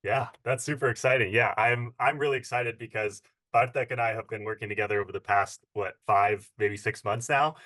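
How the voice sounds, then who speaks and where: A man's voice: on the low side, fairly even intonation, brisk, few pauses, bright, forceful tone. A man hosting a casual interview as a fitness coach, upbeat and encouraging as he asks his questions.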